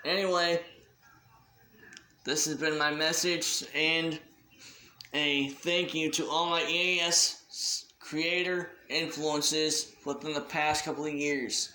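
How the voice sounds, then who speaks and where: A man with a slightly high voice makes a casual, off-the-cuff announcement like a hobby video creator. He speaks slowly, in a halting and deliberate way. The recording has a noticeable room echo. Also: bright, forceful tone, a little hiss or hum. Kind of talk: casual unscripted shout-out announcement.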